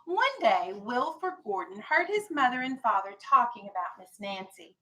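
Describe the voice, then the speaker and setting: A woman teacher reading a storybook aloud to young children, animated, stopping to explain and ask questions. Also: fairly high pitch, moderate pace, poor audio. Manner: animated, explains and checks understanding. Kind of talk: expressive children's read-aloud with questions to the class.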